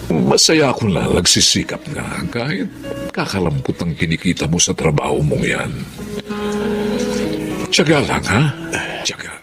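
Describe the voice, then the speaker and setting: A man's voice: low voice, sing-song, unhurried, creaky, pauses often, over music, exaggerated voice. A man acting a kindly, encouraging older Filipino father in a radio drama, folksy and full of exclamations. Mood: reassuring.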